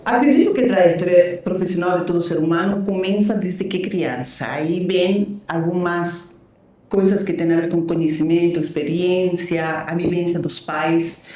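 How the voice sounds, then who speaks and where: A woman's voice: on the low side, moderate pace, heavy reverb, recorded from a distance. Bolivian-born woman with a Spanish accent. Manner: warm storytelling, frequent "né" and "eh" fillers. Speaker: guest, leadership mentor. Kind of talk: podcast interview.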